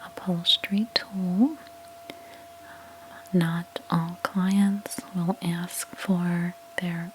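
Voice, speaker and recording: A woman speaking in a soft, breathy near-whisper, gently explaining each step in an ASMR roleplay narration. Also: on the low side, slight background noise, poor audio. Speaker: ASMR creator playing a house cleaner.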